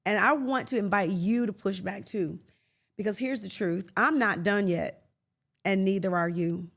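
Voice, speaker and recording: A woman in her late fifties hosting a faith podcast, talking earnestly and conversationally with soft laughs and restarts. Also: mid-range voice, unhurried. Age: 50s. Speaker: podcast host. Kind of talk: reflective faith podcast monologue.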